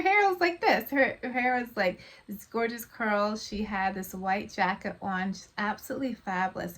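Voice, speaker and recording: A woman vlogger confiding her personal story to viewers in a casual, halting, rambling monologue. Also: medium pitch. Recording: clear background noise.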